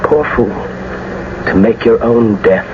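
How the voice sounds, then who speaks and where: An actor playing a gentle but desperate young lover in a classical radio drama. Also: male voice, lowish voice, takes it slowly. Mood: tender despair. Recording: dull, noisy old radio broadcast.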